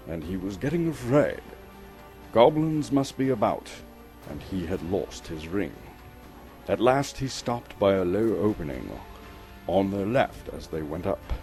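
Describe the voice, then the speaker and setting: A man reads a story as theatrical, suspenseful narration. His voice is low and very mellow, and he sets phrases apart with deliberate pauses. Music plays underneath him. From Canada. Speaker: storyteller, actor reading an audiobook chapter. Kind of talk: dramatic scripted narration.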